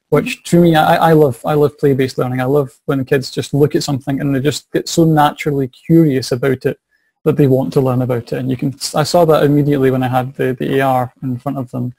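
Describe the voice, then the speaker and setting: A man's voice: mid-range voice, gravelly, darkish tone, slightly rough, dry recording. A young Scottish man, a science and maths teacher, answering interview questions over a video call from a small office, eager and repeating words as he thinks. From Scotland. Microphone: video call webcam.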